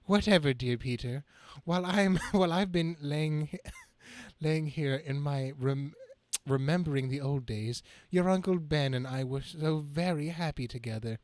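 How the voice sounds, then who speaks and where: A sweet, frail old woman of a comic-book aunt, acted out by a man. The voice is a little high and very dry, doting and wistful in its delivery. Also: unhurried, darkish tone, no echo, natural voice.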